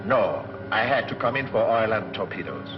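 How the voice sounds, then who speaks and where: An actor playing a businesslike submarine captain in an old movie serial. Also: male voice, fairly low pitch, expressive, moderate pace, background noise, natural voice. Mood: matter-of-fact. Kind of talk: 1940s movie serial acting. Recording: muffled old film soundtrack, loud music behind.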